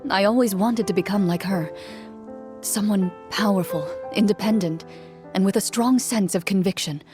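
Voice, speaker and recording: An actress playing a composed, reserved young female duelist in a video game, speaking in a formal, measured way. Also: medium pitch, music in the background, close to an everyday voice. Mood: calm, wistful.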